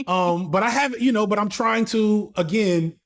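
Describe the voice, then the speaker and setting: A man's voice: sits high, normal speed. A man in his thirties, a podcast guest talking casually and candidly, with slang, swearing and frequent restarts. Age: 30s. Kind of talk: casual conversational interview.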